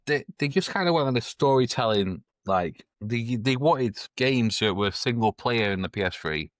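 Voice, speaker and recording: A man's voice: medium pitch, lively intonation, normal speed, dry recording. A man co-hosting a podcast, talking casually and earnestly, giving strong opinions. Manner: earnest, opinionated, restarts often. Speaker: podcast co-host. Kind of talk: casual chat.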